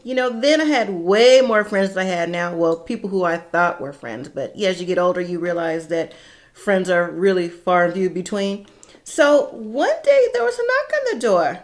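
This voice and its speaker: A middle-aged woman telling a personal story casually and candidly, in a conversational way with dramatic pauses. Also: mid-range voice.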